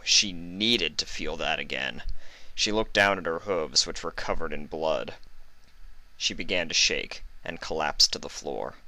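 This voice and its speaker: Male narrator for dramatic fiction, low voice with a forceful, bright edge. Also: no room sound, rough recording. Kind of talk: dramatic scripted story narration.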